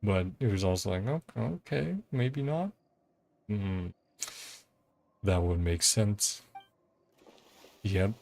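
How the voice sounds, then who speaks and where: A man's voice: fairly low pitch, expressive, takes it slowly, vocal fry, long pauses, darkish tone. A man giving casual game playthrough commentary, reading dialogue aloud and rambling hesitantly as he thinks aloud. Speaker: game streamer.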